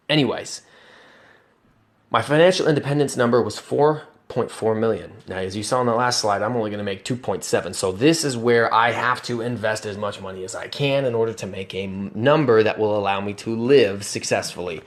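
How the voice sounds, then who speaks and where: A man's voice: lowish voice, brisk. A man in his twenties vlogging earnestly about personal finance over slides, conversational with restarts and rising emphatic bursts of conviction. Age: 20s. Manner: conversational with restarts and self-corrections.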